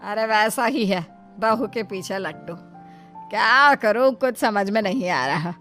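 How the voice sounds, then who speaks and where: A woman voicing a spiteful, scheming middle-aged mother-in-law in a dramatised moral story, scolding with drawn-out exclamations. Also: medium pitch, fairly bright tone, soft background music, close to an everyday voice. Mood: scolding, disdainful.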